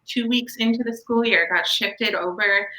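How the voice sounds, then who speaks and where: A Canadian woman in her thirties answers interview questions in a bright, crisp voice that carries a roomy echo. She talks in a chatty way, speeding up in bursts and laughing often. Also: medium pitch, moderate pace. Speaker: guest, nonprofit educator. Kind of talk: casual interview chat.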